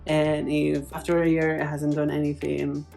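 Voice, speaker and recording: Woman, foreign-accented English, slightly low pitch, casual vlog chat, playful and hesitant, background music. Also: completely flat delivery, moderate pace, creaky, warm tone. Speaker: plant vlogger. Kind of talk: casual, chatty vlog talk.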